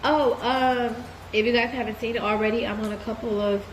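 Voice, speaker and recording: Woman vlogger, congested with a cold, candid and relaxed, slightly high voice, faint hiss behind. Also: a little fast, low-quality recording. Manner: relaxed, candid, addresses viewers as y'all. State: stuffy from a cold. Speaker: vlogger giving a life update. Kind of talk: casual chat to camera.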